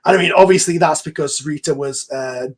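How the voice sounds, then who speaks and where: A man with a slightly high voice talks casually in an online group chat. He explains things in an animated way, often stopping and restarting a thought. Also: a little fast, husky. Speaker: series creator in a cast discussion. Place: voice chat call over the internet.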